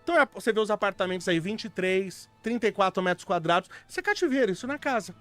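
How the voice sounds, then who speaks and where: High-voiced guy arguing on a podcast, blunt and explaining, some music behind. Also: lively intonation, racing, a bit bright. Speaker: podcast co-host. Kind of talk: casual podcast chat.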